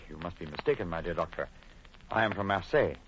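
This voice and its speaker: A man's voice: low-pitched, lively intonation, fast, a bit bright. A man acting an uneasy, secretive French expatriate in old radio horror, speaking formally and dramatically, faltering when pressed. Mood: guarded, polite. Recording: muffled old radio broadcast, faint hiss.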